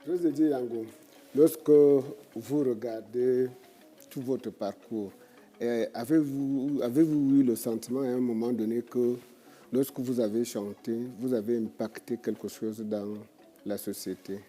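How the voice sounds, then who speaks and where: Male host, very dark warm voice, leisurely interviewer, soft music bed. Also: mid-range voice, fairly even intonation, a little slow. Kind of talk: talk show interviewing.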